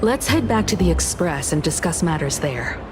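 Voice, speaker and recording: A woman voicing a calm, courteous video game character who speaks in a measured, formal way. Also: sits low. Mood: calm, pensive. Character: calm, thoughtful, courteous adult female companion. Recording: music under the voice.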